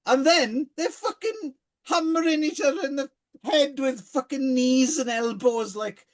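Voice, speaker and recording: A man hosting a casual film chat, sweary and animated, acting out scenes as he talks. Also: very high voice, unhurried. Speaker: podcast host.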